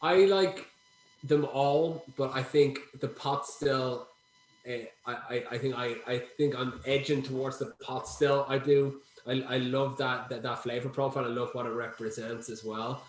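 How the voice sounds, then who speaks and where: A man's voice: medium pitch, steady tone, normal speed, a little hiss or hum. A man from Ireland representing a distillery, chatting casually on a video call and often restarting his sentences. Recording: roomy video call audio.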